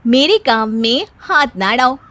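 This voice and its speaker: A woman's voice: a little high, expressive, unhurried, bright, forceful tone. A woman playing a proud, bossy young daughter-in-law in a family comedy story, boastful and scolding. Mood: stubborn.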